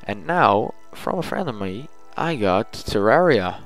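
A man's voice: lowish voice, big pitch swings, a little slow, fairly bright tone, faint music behind, low-quality recording. A man talking casually in an audio log as a gaming channel host, chatty and thinking aloud.

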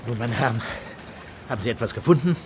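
An actor playing a sceptical, sarcastic police inspector with a gruff, commanding manner in a German radio play. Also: male voice, mid-range voice, expressive, quick pace, noisy background, natural voice. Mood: businesslike.

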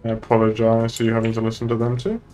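A man's voice: medium pitch, monotone, fast, mellow, faint music behind. A young man chatting casually while live streaming a police roleplay game. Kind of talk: casual gaming chat.

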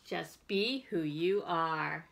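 A middle-aged American woman hosting a children's storytime, reading aloud and explaining in an expressive, deliberate way for young listeners. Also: fairly low pitch, creaky, a little hiss or hum. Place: indoors, plain-walled room.

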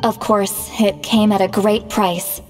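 A woman's voice: medium pitch, little pitch movement, slow, over loud music, natural voice. Woman voicing a proud young shaman, reverberant. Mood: grave, explaining. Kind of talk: scripted video game dialogue.

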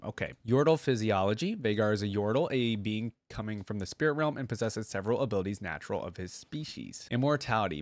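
A man's voice: medium pitch, no echo, clean studio sound. A man hosting a reaction video, reading aloud and breaking off for casual, exclaiming asides.

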